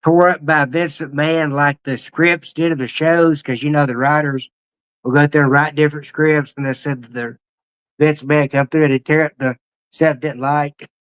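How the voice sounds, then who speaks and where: A man hosting a casual interview over a video call, asking questions in a halting way with many restarts. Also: a little high, steady tone, a bit bright, smooth, rough recording. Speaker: interview host.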